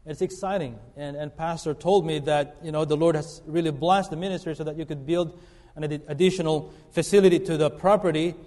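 Preacher in a reverberant church. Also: male voice, fairly high pitch, steady tone, warm tone. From Philippines. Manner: earnest, halting with fillers and restarts. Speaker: guest preacher. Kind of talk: sermon. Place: church sanctuary. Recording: long reverb of a church hall.

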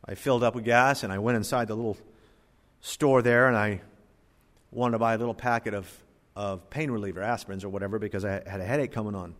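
A middle-aged male pastor preaching a sermon in a church, moving between loud emphatic bursts and quiet, low asides. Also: fairly even intonation, fast. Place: church sanctuary. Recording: church hall reverb.

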